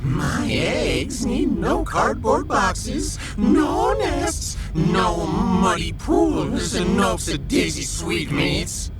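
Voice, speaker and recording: A man's voice: high voice, huge pitch swings, extremely slow, hardly any pauses, exaggerated voice. A man voicing a giant female alien caterpillar in a sci-fi audio drama, menacing and theatrical, cooing sweet endearments amid threats. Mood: gleeful menace. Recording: loud music behind.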